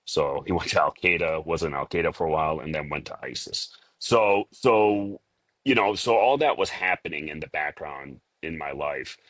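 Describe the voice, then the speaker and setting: Very deep-voiced man with a Boston accent. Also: animated, a bit bright, no room sound. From Liberia. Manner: halting, many fillers and restarts. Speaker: interview guest. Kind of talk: casual interview talk.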